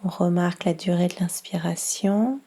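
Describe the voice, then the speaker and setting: A woman guiding a yoga relaxation session as instructor, speaking in a soft, breathy voice with calm, soothing instructions. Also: fairly low pitch. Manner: slow, calm, soothing instructions with long pauses. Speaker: yoga nidra instructor and guide. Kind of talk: guided relaxation meditation.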